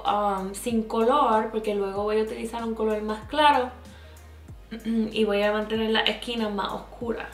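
A woman's voice: fairly high pitch, reverberant. Chatty Puerto Rican woman, makeup vlog. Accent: Puerto Rican Spanish. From Puerto Rico. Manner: chatty, mixes English words into Spanish. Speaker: makeup vlogger. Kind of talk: makeup tutorial, talking while applying. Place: small indoor room with plain backdrop.

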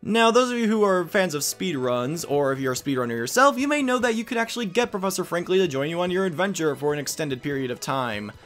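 A man's voice: a little high, sing-song, fast, hardly any pauses, a bit bright, soft background music. A man hosting a let's play, giving casual game commentary with rambling, joking asides.

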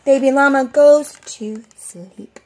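High-pitched woman reading a children's story. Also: slow pace, dark tone. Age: middle-aged. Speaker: preschool teacher reading a storybook. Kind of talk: children's story read-aloud. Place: outdoors on a covered porch.

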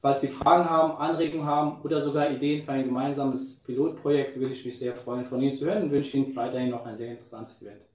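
A man's voice: medium pitch, fairly even intonation, fast, hardly any pauses, a little mellow, echoey room, far from the mic, poor audio. A man in his fifties in a home office, politely and formally closing his conference talk over a video call. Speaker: presenter.

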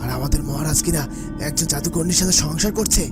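Man as fairy-tale bandit, cowardly, stammering, higher pitch, clear background music. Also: fast, lightly acted. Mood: fearful. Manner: stammering, pleading, repetitive.

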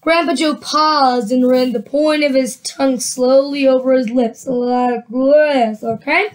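Woman, high voice, storybook read-aloud, character voices, stops to correct herself. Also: steady tone, measured pace. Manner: reads with frequent restarts and chatty asides. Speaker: reader of a children's book.

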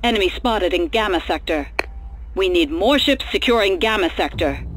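A woman's voice: medium pitch, animated, moderate pace, a bit bright, slight background noise, natural voice. A woman playing a starship pilot who calls out short scripted team commands. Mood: brisk. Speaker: voice macro for gaming chat.

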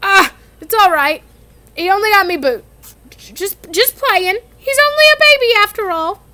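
A woman reading aloud, voicing a big, soft-hearted male gamekeeper who gets tearful. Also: very high voice, lively intonation, moderate pace, pitched above the performer's own voice, full character voice. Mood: fond.

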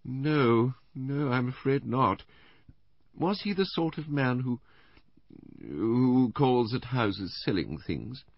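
A man's voice: mid-range voice, sing-song, slow, no room sound, pitched above the performer's own voice, full character voice. A man voicing a middle-aged invalid wife in an audiobook, plaintive, hesitant and self-dramatising, with a faint whining voice. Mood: coy, reluctant. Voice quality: faint, whining, breathy sighing. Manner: hesitant, fussy, martyred. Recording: slightly dull, lo-fi dry recording.

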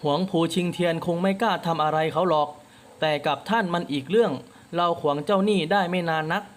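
A man's voice: sits high, a little fast, close to an everyday voice. A man voicing a loyal male martial guard who speaks with urgent earnestness. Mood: earnest.

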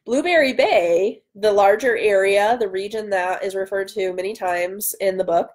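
A woman's voice: medium pitch, moderate pace. A woman in her thirties hosting a casual livestream, chatting enthusiastically and with self-deprecating humor as she answers viewers. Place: indoor room at home by a window.

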